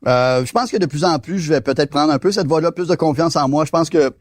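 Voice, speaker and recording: A man's voice: a little high, fast, dry recording. Chatty Québécois man in his fifties. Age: 50s. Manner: animated, repeats and restarts words. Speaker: podcast host. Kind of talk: casual dinner chat.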